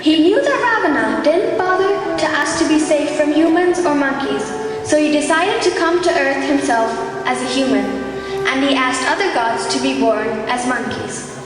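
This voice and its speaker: A woman's voice: high voice, moderate pace, music in the background. A woman reading scripted story narration for a stage performance. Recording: very echoey hall, distant mic.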